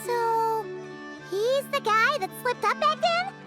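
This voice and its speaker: An actress voicing a tiny, excitable, squeaky childlike companion creature in a video game. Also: female voice, slow pace, loud music behind the voice, full character voice.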